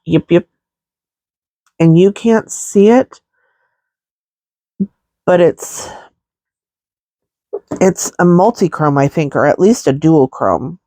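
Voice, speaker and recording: A woman's voice: sits low, expressive, takes it slowly, pauses often, warm tone, no room sound. A woman with a stuffy, congested nose chatting casually through a makeup tutorial, rambling with many fillers. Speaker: makeup vlogger.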